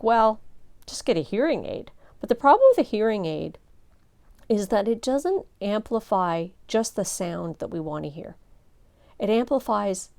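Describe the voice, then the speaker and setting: A middle-aged woman pastor teaching a seminar in a soft, low voice, gentle and earnest, with measured pauses. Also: vocal fry.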